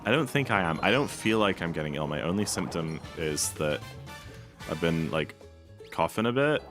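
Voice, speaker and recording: Young man, very low voice, slightly hoarse, rambling casual chat, game music behind. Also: expressive, normal speed. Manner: rambling, laid-back, many fillers. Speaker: speedrun streamer. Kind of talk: casual live-stream chat.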